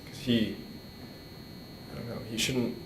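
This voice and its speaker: A young man with a mid-pitched voice speaks informally at a memorial. He is choked with emotion and stumbles over repeated words. The room is echoey, with a faint rumble. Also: fairly even intonation, a little slow. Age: young adult. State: emotional, struggling to keep composure. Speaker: eulogist at a memorial, nephew of the deceased. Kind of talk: personal, informal eulogy. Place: indoor hall. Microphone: microphone on a boom stand. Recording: roomy echo, faint rumbling noise.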